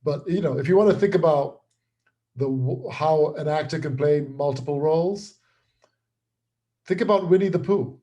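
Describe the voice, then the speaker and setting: A man's voice: fairly high pitch, moderate pace, pauses often, dark tone. A man talking casually as an interview guest and creator, explaining things with repeated, restarted words.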